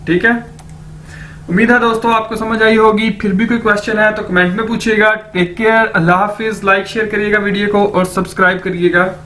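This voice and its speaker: A man from Pakistan, teaching a slow language lesson and repeating each word as a drill. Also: sits high, flat delivery, bright, forceful tone, slight background noise.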